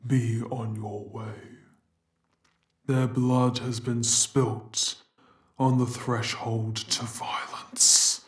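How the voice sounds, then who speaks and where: Brief: a monstrous bull-man guarding his domain, flat and threatening, drenched in echo, audio drama. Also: fairly low pitch. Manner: slow, threatening, deliberate. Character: Minotaur, brutish, menacing male monster guarding his domain.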